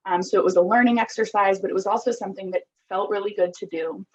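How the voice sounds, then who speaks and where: Fast-talking husky woman, podcast guest. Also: mid-range voice, steady tone, darkish tone, dull audio. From Costa Rica. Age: 30s. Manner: open, earnest, many ums and likes. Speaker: podcast guest, app founder. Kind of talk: reflective interview talk.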